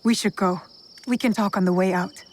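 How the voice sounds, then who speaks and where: A woman's voice: medium pitch, steady tone, brisk, a little hiss or hum, natural voice. A woman playing a determined young avenger in a video game, speaking in a direct, controlled, probing way. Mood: calm, reserved. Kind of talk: video game cutscene acting.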